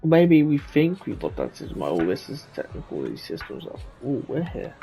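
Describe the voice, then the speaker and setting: A man's voice: medium pitch, wildly swooping pitch, normal speed, vocal fry, warm tone, soft background music, poor audio. A man giving casual gameplay commentary, musing aloud in loose phrases.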